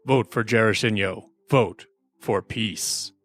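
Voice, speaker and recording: A man's voice: on the low side, slow. Man doing a solemn campaign ad announcer over music. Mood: solemn, promotional. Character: solemn, promotional male political campaign ad narrator. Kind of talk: parody political ad narration.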